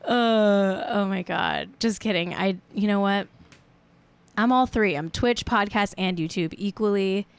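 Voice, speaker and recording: A woman's voice: medium pitch, a little slow, clear, resonant tone. A woman in her late twenties hosting a live stream show, chatting in a rambling, playful, self-mocking way. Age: 20s. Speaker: show host. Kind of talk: casual livestream chat.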